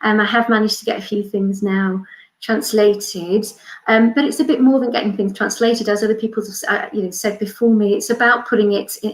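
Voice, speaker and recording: A woman's voice: mid-range voice, echoey room, rough recording. A Welsh woman in her forties, a respiratory consultant, talking earnestly on a panel with many fillers and restarts. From Wales. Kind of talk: panel talk.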